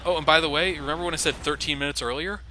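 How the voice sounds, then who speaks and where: A man's voice: a little high, fairly even intonation, racing, bright, forceful tone, slight background noise. A man giving casual, sarcastic commentary over a video game, joking about its flaws. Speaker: let's play commentator.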